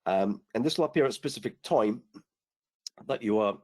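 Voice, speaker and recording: Hoarse man giving a software demo. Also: lowish voice, fairly quick. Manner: relaxed explaining, many fillers and restarts. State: hoarse, losing his voice. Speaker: product demonstrator on a webinar. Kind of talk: screen-share software demo.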